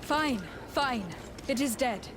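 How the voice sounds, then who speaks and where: A woman's voice: high-pitched, slow, noisy background. A woman playing a composed, modest young noblewoman and fighter in a video game, speaking formally and with understatement. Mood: modest, calm. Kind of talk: video game cutscene acting.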